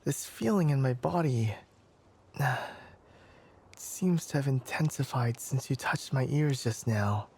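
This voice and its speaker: A man playing a flustered, formal young fox-eared forest scholar in an intimate ASMR anime roleplay, hesitant and stammering, often slipping into a breathy whisper. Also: medium pitch, animated, slow, a little mellow, heavily acted. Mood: embarrassed, strained.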